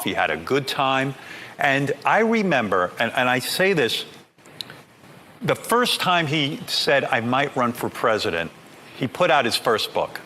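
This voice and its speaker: A man recalling events in conversation, animated, emphatic and insistent. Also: mid-range voice, a little hiss or hum.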